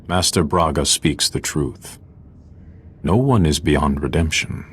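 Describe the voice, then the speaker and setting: A man acting a solemn, compassionate Jedi council master in a video game, measured and grave. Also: very deep voice, a bit bright, slightly rough, exaggerated voice.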